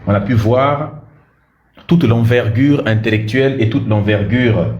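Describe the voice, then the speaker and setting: A man's voice: mid-range voice, measured pace, echoey room, muffled recording, noisy background. A man hosting a livestream, talking bluntly and combatively, with frequent hesitations and repeated words. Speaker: livestream host. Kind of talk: livestream monologue and debate.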